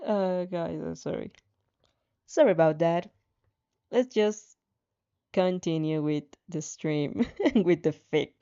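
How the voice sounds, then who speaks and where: Casting: female streamer, mellow and leisurely, stumbles and sighs through casual chat and story reading. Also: fairly low pitch, clear, resonant tone, muffled recording. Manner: halting, stumbling over words, sighing and chuckling. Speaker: streamer reading fan fiction aloud. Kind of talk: casual livestream chat and expressive read-aloud with asides.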